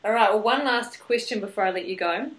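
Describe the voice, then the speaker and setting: A woman's voice: mid-range voice, brisk, a bit bright. A woman with a New Zealand accent hosting a podcast interview, friendly and encouraging. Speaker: podcast host. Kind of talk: interview questions.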